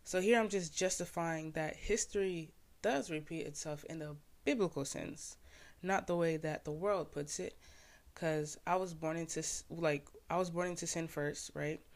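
A man's voice: a little high, fairly even intonation, normal speed. A young man from Haiti, a podcast host and poet, casually explaining his own poem in laid-back slang full of "like" fillers.

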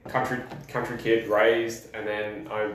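A man's voice: fairly low pitch, level tone, a little slow. A young Australian man from South Australia telling his life story in a loose, chatty way, full of "like" and restarts. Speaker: podcast guest. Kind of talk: casual storytelling. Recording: echoey, far from mic, faint background noise.

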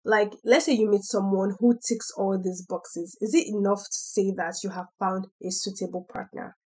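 Hoarse, husky-voiced woman podcast host. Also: mid-range voice, steady tone, moderate pace, mellow, low-quality recording. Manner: chatty, laughs between points. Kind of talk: faith-based casual podcast talk.

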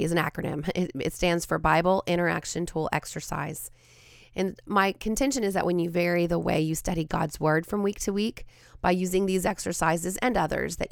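Raspy-voiced woman, hoarse podcast host. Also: on the low side, no room sound, clean studio sound. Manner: earnest, reflective, frequent ums and restarts. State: hoarse from a cold. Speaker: podcast host and Bible teacher. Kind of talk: devotional podcast teaching.

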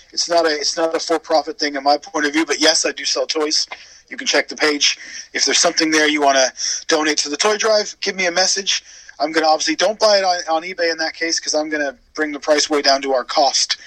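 A man's voice: a little high, bright, forceful tone, no room sound, poor audio. A man, from Canada and Wales, chatting casually and telling stories with asides as a radio guest. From born in Wales, grew up in Canada. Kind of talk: casual chat and storytelling.

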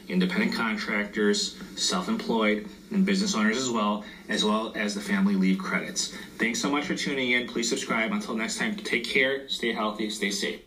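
A man's voice: on the low side, fairly bright tone, far from the mic, low-quality recording. A man who is losing his voice, explaining loan and tax forms step by step in a casual explainer video.

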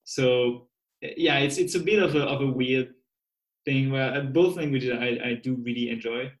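A young man, a native French speaker with a French accent, answering a podcast host's questions in a thoughtful, measured way. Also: medium pitch, reverberant, low-quality recording. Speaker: podcast guest. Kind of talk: casual podcast interview.

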